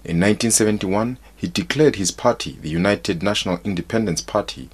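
A man from Zimbabwe narrates a documentary in a very deep, husky voice. His delivery is measured and formal. A journalist's voice-over with a guitar under it.

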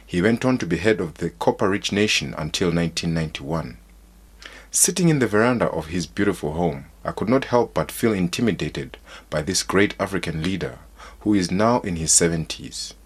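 A man from Zimbabwe narrates a documentary in a very deep, husky voice. His delivery is measured and formal. A journalist's voice-over with a guitar under it. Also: expressive.

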